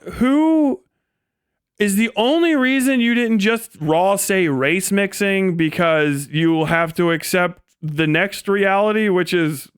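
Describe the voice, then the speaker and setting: A man's voice: high-pitched, smooth, dry recording, clean studio sound. A man giving casual, unscripted livestream commentary, rambling and incredulous, with slangy talk and heavy swearing. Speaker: live streamer and political commentator.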